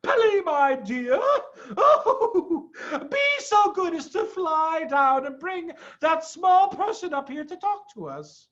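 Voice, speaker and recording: A man's voice: very high voice, sing-song, slow, fairly bright tone, pitched above the performer's own voice, heavily acted. A man voicing a tall, genteel female giraffe from a storybook, sweetly polite and fluttery. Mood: sweet, coaxing. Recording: dull, muffled sound.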